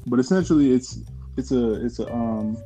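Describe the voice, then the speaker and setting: A man's voice: medium pitch, normal speed, dark tone, breathy edge, soft background music, poor audio. A man in casual podcast chat, rambling with many fillers and restarts.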